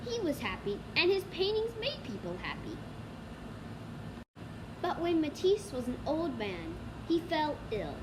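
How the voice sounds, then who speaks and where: A woman's voice: high voice, big pitch swings, measured pace. A female student reading a children's storybook aloud, with noise behind the voice. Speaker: student reader. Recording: clearly noisy background.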